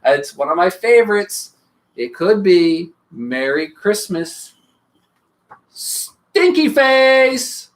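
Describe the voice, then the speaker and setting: A bedtime-story host reads for children with very lively, expressive pitch. The delivery is playful, moving between storybook narration and casual asides. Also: very slow. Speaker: host reading bedtime stories.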